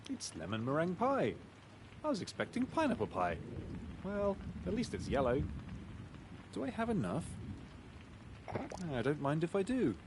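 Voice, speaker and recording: A gentleman pig detective from a video game, talking to himself with huge swoops in pitch. He savours every word like a devoted glutton, over faint background noise. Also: huge pitch swings, moderate pace, pauses often. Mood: musing, greedy. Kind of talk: scripted game dialogue.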